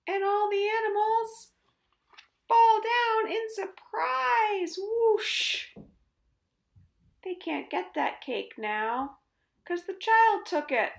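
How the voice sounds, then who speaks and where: A woman reading picture books aloud to young children at home, very expressively, with drawn-out words. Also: extremely high pitch, vocal fry. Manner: expressive, with drawn-out words, sound effects and gasps. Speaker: children's storytime reader. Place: indoors at home. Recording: roomy, echoing home recording.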